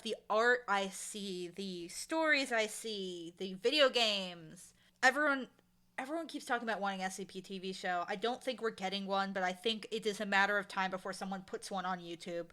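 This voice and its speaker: A woman's voice: medium pitch, bright, forceful tone. A woman chatting casually as an interview guest, talking in an enthusiastic, emphatic way. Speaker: interview guest, audio drama showrunner and dialogue editor.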